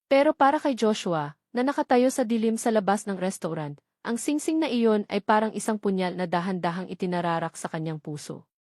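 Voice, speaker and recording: A woman narrates a romance audiobook in a dramatic, scripted style. Her voice sits at a medium pitch, and the recording is close and dry. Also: a little mellow, dry recording.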